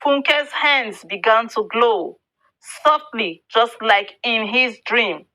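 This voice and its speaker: A woman narrating a scripted folktale in a deliberate, expressive storybook manner. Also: fairly high pitch, poor audio.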